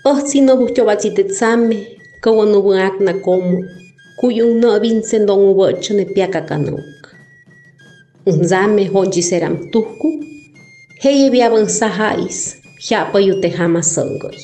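A woman poet from Chiapas, Mexico, whose first language is Zoque, reciting her poems in a slow, measured way. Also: medium pitch, dark tone, soft background music. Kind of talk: poetry recitation.

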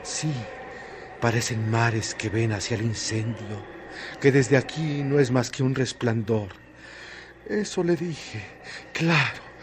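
A man's voice: mid-range voice, lightly acted. A man performing as a brooding narrator of a literary radio drama, speaking in a poetic, dramatic way. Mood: intense, tender. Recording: very noisy radio drama recording.

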